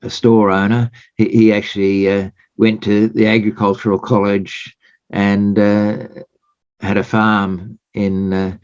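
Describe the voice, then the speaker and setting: An Australian man answers interview questions in a low voice, measured and hesitant, filling his pauses with uhs. The sound is dry and close, with a muffled, lo-fi quality. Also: little pitch movement, a little mellow, smooth, no echo. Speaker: guest. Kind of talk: casual interview chat.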